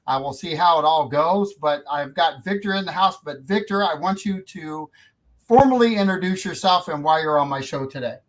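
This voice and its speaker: A man's voice: a little high, moderate pace, low-quality recording. A man hosting a community radio show, talking in a folksy, casual way with plenty of fillers and restarts. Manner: folksy, repetitive, many fillers. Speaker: radio host.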